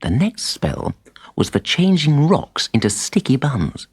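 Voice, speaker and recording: A man narrating a children's audiobook in an expressive, dramatic storytelling manner. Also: a little high, unhurried, breathy edge, no room sound.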